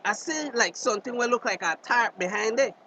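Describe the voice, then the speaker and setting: Casting: brash Belizean Creole fisherman, blunt banter, animated pitch, bright forceful tone, some background noise. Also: brisk, dull audio, full character voice. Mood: grumbling. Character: Luke, brash, impatient, teasing fisherman stuck in a storm shelter. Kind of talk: radio drama dialogue in dialect. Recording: drama with faint background noise.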